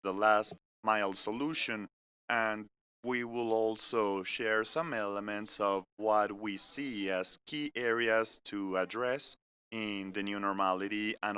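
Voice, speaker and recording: A man from France with a French accent, speaking in English as he gives a measured, earnest business presentation that moves point by point through an agenda. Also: fairly low pitch, pushed and bright, smooth. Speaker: corporate keynote speaker. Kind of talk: live-streamed business presentation. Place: company innovation center studio.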